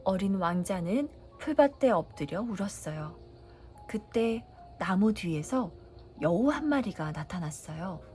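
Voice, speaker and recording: A woman reading a children's storybook aloud as a bedtime story, narrating in a gentle, unhurried way. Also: medium pitch, expressive, slight background noise. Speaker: storybook reader.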